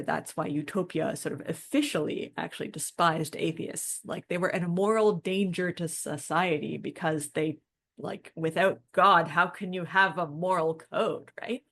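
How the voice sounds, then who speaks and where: A woman's voice: lowish voice, a little slow, creaky, fairly bright tone. A woman co-hosting a podcast, explaining things in a casual, informal, discussion style with plenty of colloquial filler words. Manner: informal, peppered with "like" and "you know". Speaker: podcast co-host.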